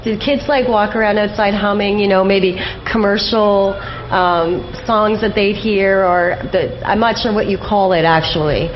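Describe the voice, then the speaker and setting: A woman's voice: medium pitch, fairly even intonation, a little slow. Woman radio interviewer, muffled noisy audio. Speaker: radio show host, interviewer. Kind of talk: conversational interview questioning. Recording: dull lo-fi audio, background noise.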